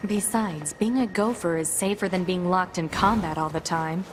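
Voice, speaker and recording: A woman's voice: fairly low pitch, normal speed, noisy background, close to an everyday voice. A woman playing a composed, strict female combat android in a video game, cool, restrained and matter-of-fact. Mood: firm. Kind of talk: English video game voice acting. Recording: game music playing loudly behind.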